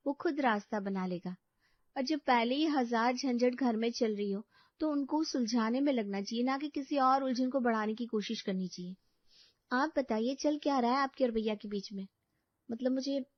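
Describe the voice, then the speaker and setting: High-pitched, bubbly young sister-in-law played by a woman. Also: dry recording, muffled recording, natural voice. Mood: concerned.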